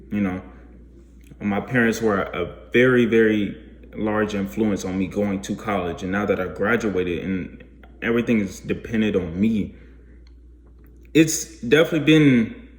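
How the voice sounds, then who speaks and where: A man's voice: on the low side, roomy sound, a little hiss or hum. A man in his twenties talking through his life decisions in a rambling, self-questioning monologue, restarting his sentences often. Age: 20s. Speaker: vlogger. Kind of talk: reflective casual monologue.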